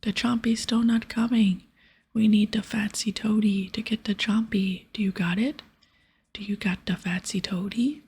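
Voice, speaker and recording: A woman voicing a crude, hungry ogre hunter in a breathy whisper, with childlike broken grammar, for an ASMR game playthrough. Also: medium pitch, fairly even intonation, a little mellow. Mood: hungry, eager. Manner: broken baby-talk grammar, drawn-out words.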